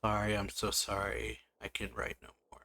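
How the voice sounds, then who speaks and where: A man hosting a let's-play, casually commenting and slowly reading game text aloud, with frequent musing hums. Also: on the low side, completely flat delivery, sharp tone, a little hiss or hum. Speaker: gameplay channel host.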